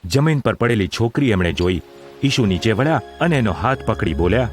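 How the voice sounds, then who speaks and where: A man's voice: fairly low pitch, lively intonation, brisk, soft background music, natural voice. A man narrating a gospel audio drama in a formal, solemn storytelling style.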